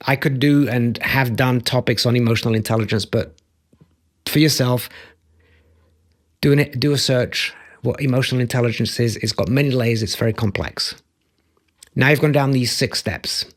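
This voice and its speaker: A man's voice: mid-range voice, moderate pace, pauses often. A middle-aged man coaching on self-acceptance, talking into a close studio mic in a home office, earnest and emphatic, repeating key phrases. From Democratic Republic of Congo. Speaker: empathy coach and author. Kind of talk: motivational talk to camera. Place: home office with bookshelves, indoors. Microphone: studio mic on boom arm, close.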